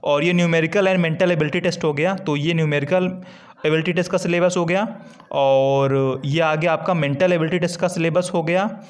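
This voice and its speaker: Higher-pitched man explaining informally. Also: fairly even intonation, normal speed. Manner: conversational, repeats key points for listeners. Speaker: exam-preparation video host. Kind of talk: informal explainer, walking through a document. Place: screen-recorded voice-over.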